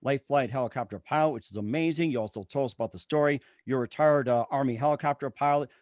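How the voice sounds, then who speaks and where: A man's voice: medium pitch, quick pace, darkish tone, no room sound. A man hosting a talk show, reading plugs and introductions in an announcer-like way with stock phrases. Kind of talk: promotional show host talk, ad reads and guest introduction.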